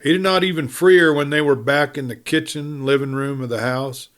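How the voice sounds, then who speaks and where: A man narrating a western novel as an audiobook, in a measured, deliberate storytelling style with dramatic pauses. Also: on the high side, steady tone, fairly bright tone.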